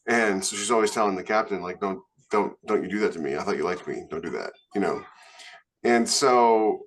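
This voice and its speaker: A man speaking casually as a podcast co-host and author, telling things in a halting, animated way. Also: lowish voice, slight background noise. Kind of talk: casual panel chat.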